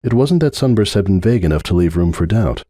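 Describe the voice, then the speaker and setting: A man's voice: low voice, animated, fast talker, dark tone, no echo. A man reading a story aloud as a narrator in a dramatic audiobook style, close on the mic.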